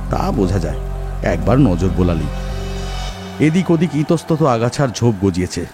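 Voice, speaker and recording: A man narrating a first-person horror story in a dramatic style. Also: medium pitch. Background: background music.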